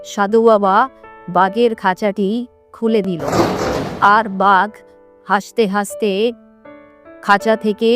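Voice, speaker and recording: A woman's voice: mid-range voice, little pitch movement, slow pace, long pauses, soft background music. A woman reading scripted narration for a children's cartoon fable.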